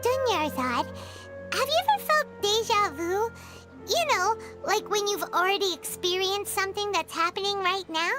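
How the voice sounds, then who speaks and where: Super high squeaky little fairy sidekick from a game, caring, bouncy intonation, music blaring under it. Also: female voice, expressive, moderate pace. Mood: puzzled. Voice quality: squeaky, childlike. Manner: speaks of self in third person, exclamatory. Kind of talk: animated video game voice acting. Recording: loud music behind the voice.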